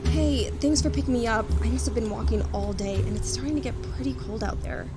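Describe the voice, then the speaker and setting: Woman as high-voiced young girl hitchhiker. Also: fast talker, loud music behind the voice, lightly acted. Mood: grateful. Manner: polite, hesitant. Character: young girl hitchhiker, polite but uneasy. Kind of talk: comedy sketch acting.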